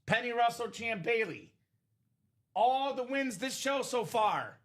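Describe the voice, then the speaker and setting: A young man from Bulgaria hosting a live sports card break stream, upbeat and joking, shouting out buyers by name. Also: very high-pitched, expressive, measured pace. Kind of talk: casual live-stream sales chat.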